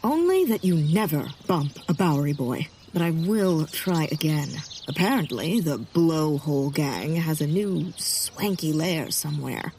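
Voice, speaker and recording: Low-voiced, melodramatic, resolute heroine. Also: female voice, animated, unhurried, hardly any pauses, darkish tone, slight background noise. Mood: stoic, resolute. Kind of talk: comedic period audio drama acting.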